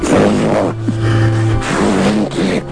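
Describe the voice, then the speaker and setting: A radio drama bug-man, super slow deep croak, stammering and pleading, anxious, music under it. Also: flat delivery, heavily acted. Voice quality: gravelly, croaking.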